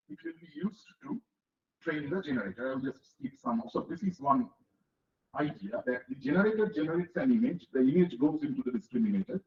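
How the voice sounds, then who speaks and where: Middle-aged male lecturer, high voice, echoey dull hall recording. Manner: explains step by step, asks listeners rhetorical checks. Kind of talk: technical lecture with classroom analogies.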